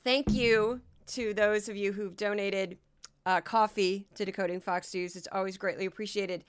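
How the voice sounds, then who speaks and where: A woman's voice: mid-range voice, steady tone, normal speed, clean tone. A woman hosting a news commentary podcast, talking sardonically and directly to her listeners while she is losing her voice. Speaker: podcast host.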